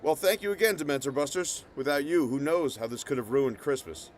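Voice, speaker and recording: A man's voice: a little high, fairly quick, a little hiss or hum, natural voice. A man playing a jolly, loyal Santa Claus wizard in an audio drama. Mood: warm, grateful.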